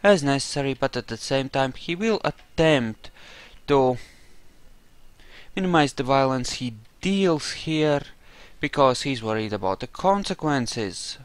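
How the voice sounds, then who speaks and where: A man doing roleplay commentary over a game, musing aloud and explaining his character's reasoning in a deliberate way. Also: mid-range voice, no echo. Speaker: gamer narrating a roleplay playthrough.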